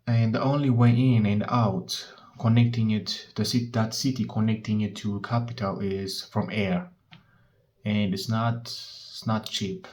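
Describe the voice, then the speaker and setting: A man's voice: lowish voice, steady tone, measured pace, a little mellow. A nineteen-year-old man talking frankly to his handheld phone in a casual vlog, swearing often and restarting his sentences. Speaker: vlogger.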